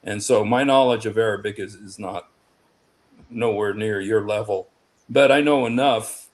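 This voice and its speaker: Excitable man with emphatic restarts. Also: fairly low pitch, moderate pace. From United States. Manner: emphatic, excitable, frequent restarts and repeated words. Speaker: panel guest. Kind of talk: casual online panel discussion.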